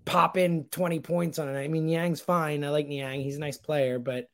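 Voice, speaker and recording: Male sports pundit, slightly high voice. Slangy, emphatic, conversational, full of opinion and filler phrases. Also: moderate pace. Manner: animated, slangy, emphatic, hedging with "like" and "you know". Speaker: sports radio producer and weekend host, guest. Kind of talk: casual sports talk, opinionated analysis.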